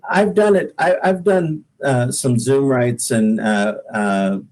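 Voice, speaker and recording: A man's voice: mid-range voice, darkish tone. A man talking casually as a singer-songwriter guest in an interview, often repeating words as he gathers his thoughts. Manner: halting, repeats words while thinking.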